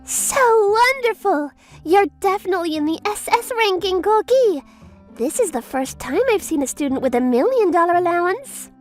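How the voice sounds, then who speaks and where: Woman doing a gushy greedy anime teacher, super high lively voice. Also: moderate pace, faint music behind. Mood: gushing. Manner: gushing, peppers talk with French phrases. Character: bubbly, money-obsessed young female schoolteacher. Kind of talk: manga dub voice acting.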